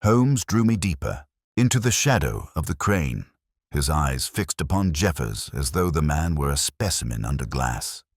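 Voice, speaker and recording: A man reading a scripted dramatic mystery story in a measured, grave manner. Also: extremely low pitch, sing-song, dry recording, studio quality. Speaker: audiobook narrator.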